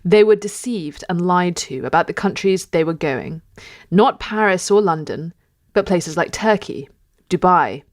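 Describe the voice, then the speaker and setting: A woman's voice: lowish voice, animated, a little slow, no echo. Earnest woman host, dry close sound. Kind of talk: scripted introduction and interview questions.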